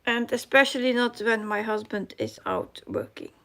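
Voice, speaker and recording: A woman's voice: a little high, huge pitch swings, moderate pace, fairly bright tone. A middle-aged woman with a Dutch accent hosting a casual live chat, reading comments aloud and searching for her English words. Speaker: cross-stitch live stream host.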